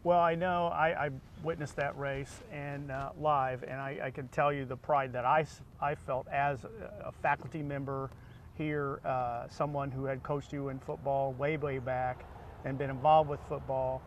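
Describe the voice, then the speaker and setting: A middle-aged man interviewing casually, with rambling, halting questions. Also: medium pitch, level tone, lo-fi sound. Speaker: interviewer, faculty member and former football coach. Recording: clear background noise.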